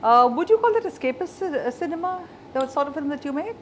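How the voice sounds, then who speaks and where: A woman asks careful, probing questions, pausing on fillers as she goes. Her voice is fairly high and moves a lot in pitch. A faint room ambience sits behind her. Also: lively intonation, a little hiss or hum. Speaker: interviewer. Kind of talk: interview questions.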